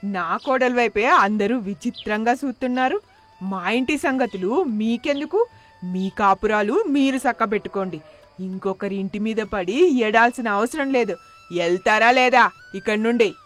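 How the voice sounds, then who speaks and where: A woman's voice: on the high side, moderate pace, lightly acted. A woman voicing a shrewish middle-aged mother-in-law in a cartoon moral story, scolding and confrontational. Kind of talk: animated moral story dialogue.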